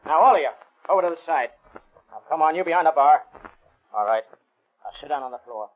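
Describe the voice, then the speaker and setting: A man's voice: fairly high pitch, lively intonation, fairly quick. A man playing a cocky, menacing stickup gangster in clipped tough-guy slang, in a 1930s radio crime drama. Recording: tinny, band-limited 1930s radio recording.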